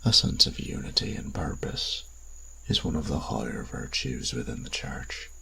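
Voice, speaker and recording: A man playing a sinister, courtly dark magical being in a fantasy audio roleplay, speaking formally and teasingly in a gravelly near-whisper. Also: a bass voice, animated, slight background noise, full character voice, low-quality recording. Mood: smooth, expectant. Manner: formal, measured, archly polite, teasing.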